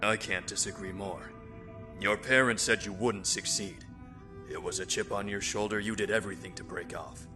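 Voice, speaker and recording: A steady male officer acted by a man with a lowish voice. He is calm and reassuring, with music clearly behind him. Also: moderate pace, close to an everyday voice. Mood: firm encouragement. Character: steady, supportive male senior military officer. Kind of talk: animated sci-fi parody voice acting.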